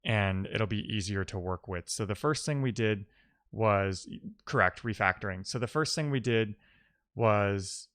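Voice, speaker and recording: A man's voice: lowish voice, a little slow, a bit bright, no room sound, clean studio sound. A man hosting a live-coding stream, talking casually and thinking aloud as he works and answers his chat. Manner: informal, reading and answering chat messages aloud. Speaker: live-streaming programmer, host.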